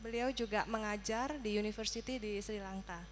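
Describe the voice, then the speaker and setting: A woman's voice: medium pitch, fairly even intonation, a little fast. A woman from Indonesia working as a live interpreter, relaying a religious talk sentence by sentence. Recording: faint electrical hum.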